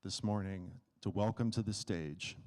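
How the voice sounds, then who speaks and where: A man, a project advisor, giving a formal stage introduction in measured, deliberate phrases with pauses. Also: deep voice, very noisy. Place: stage in a large hall. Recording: echoing hall with long reverb.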